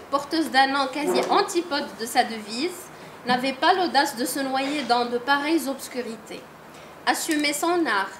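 A woman in her forties reading a prepared literary review aloud from her phone in a measured way, in a salon full of listeners. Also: high-pitched, fairly bright tone, echoey room, slight background noise. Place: large salon room with audience.

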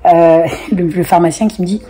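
A woman's voice: fairly low pitch, fairly even intonation, moderate pace, mellow, slightly rough. A woman in her forties, chatting casually to camera from her sofa, stuffy-nosed from a cold, rambling with many fillers. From France. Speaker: family vlogger, single mother. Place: living room on a sofa, window open to a busy street. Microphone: camera at close range.

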